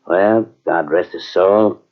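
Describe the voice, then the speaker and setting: An actor playing a genial, confident cavalry officer with an easygoing, avuncular manner in an old-time radio drama. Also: male voice, sits low, takes it slowly. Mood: wistful, musing. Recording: muffled old radio drama from cassette tape.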